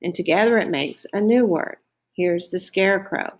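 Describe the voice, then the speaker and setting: A woman's voice: lowish voice, moderate pace. A woman reading a children's story aloud, careful and explanatory, with teacherly asides.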